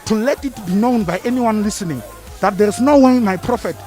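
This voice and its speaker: A man's voice: high-pitched, brisk, warm tone, faint music behind. Emphatic man. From South Africa. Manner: emphatic, declaring. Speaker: supporter at a rally.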